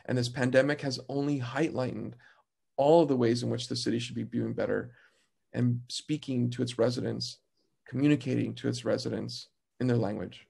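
A man in his thirties giving an earnest, measured opening statement as committee chair over a video call from a home office. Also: medium pitch, vocal fry, darkish tone.

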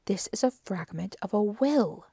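Detective's male companion for a mystery audiobook, read by a woman: earnest, easily puzzled, softly mellow, measured pace. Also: medium pitch, creaky, dry recording, clean studio sound. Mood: excited, surprised. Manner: earnest, questioning. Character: puzzled, eager male friend and assistant of a detective. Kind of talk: audiobook character dialogue.

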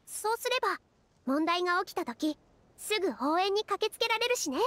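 A woman voicing a gentle, considerate young magical heroine in an anime-style game, careful and polite. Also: very high voice, expressive, brisk, slight background noise. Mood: gentle, reassuring.

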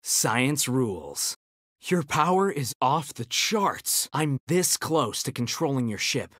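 A man's voice: mid-range voice, very animated, takes it slowly, fairly bright tone, dry recording, studio quality, exaggerated voice. A man voicing a brainy, cocky young ninja turtle in a video game, with an American accent and nerdy, quippy confidence. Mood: confident, nerdy. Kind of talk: video game character battle banter.

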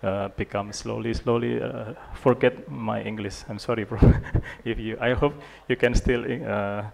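A man in his thirties with an Indonesian accent, giving a conference lecture on a handheld mic in a large hall, halting and self-deprecating with many fillers. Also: fairly low pitch, fairly even intonation, vocal fry, a little mellow. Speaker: guest lecturer, urologist.

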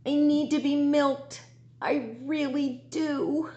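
Woman as a high, whining grumpy farm animal. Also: steady tone, lo-fi sound. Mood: grumpy, demanding. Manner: loud, whining, demanding, drawn-out. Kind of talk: storybook character reading for children.

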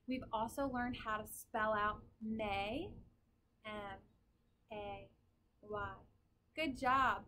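Casting: young female children's teacher, high-pitched, unhurried delivery, encouraging manner. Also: a bit bright, rough recording. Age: young adult. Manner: encouraging, addresses young children simply and clearly. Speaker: preschool teacher. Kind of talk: children's lesson and storybook read-aloud.

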